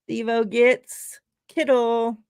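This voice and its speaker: A woman's voice: a little high, level tone, extremely slow, a little mellow, clean tone, dry recording, clean studio sound. A woman hosting a casual sports podcast livestream, who laughs often and draws out her words while running giveaways. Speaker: podcast host. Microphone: remote livestream call.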